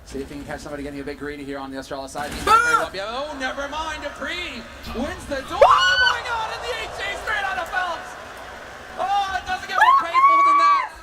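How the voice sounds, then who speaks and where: A man commentating a live esports match with animated analysis that breaks into screams at the big plays, heard on an arena broadcast. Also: extremely high pitch, fairly quick. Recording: arena broadcast with loud crowd and noise.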